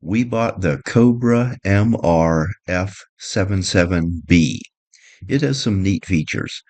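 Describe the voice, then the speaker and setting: A man's voice: sits low, lively intonation, slow, a little mellow, no echo. A man giving a practical how-to narration in a plain, step-by-step way, like a boat owner explaining his gear. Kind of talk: practical how-to narration, partly read, partly off the cuff.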